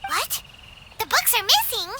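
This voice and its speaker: Very high-pitched, chirpy little fairy. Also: female voice, big pitch swings, a little slow, very noisy, heavily acted. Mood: surprised, concerned. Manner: refers to self in third person, exclamatory. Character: small chirpy childlike fairy companion, female, eager. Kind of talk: video game voice acting.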